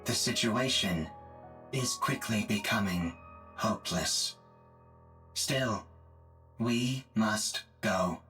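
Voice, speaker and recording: An actor playing a calm, emotionless male combat support robot who speaks in flat, precise, formal phrases. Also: lowish voice, slow, pauses often, soft background music. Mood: measured.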